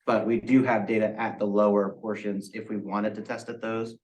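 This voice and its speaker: A man with a fairly low voice walks through a technical presentation. He explains methodically, one step after another, and sounds set back from the microphone. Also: flat delivery, normal speed, a little mellow. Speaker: student presenter. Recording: distant microphone pickup.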